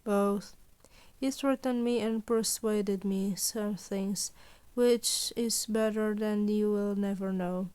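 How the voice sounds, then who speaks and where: A woman's voice: medium pitch, steady tone, slow pace, a little mellow, clear, resonant tone, voice pushed down, natural voice. A woman voicing a sullen, tired teenage boy who answers tersely and reluctantly.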